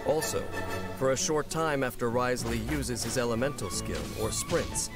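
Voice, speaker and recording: A man reading scripted promotional narration for a video game in a measured, articulate, polished way. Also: medium pitch. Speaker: narrator of game character guide. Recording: loud music under the voice. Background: game music.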